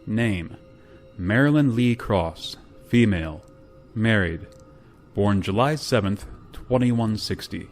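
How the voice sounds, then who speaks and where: A man playing a grave, reluctant spaceship pilot in a radio drama, speaking in a solemn, halting way. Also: fairly low pitch, lively intonation, soft background music. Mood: grave, official. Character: grave, reluctant male spaceship pilot bearing terrible news. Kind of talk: radio drama acting with narration.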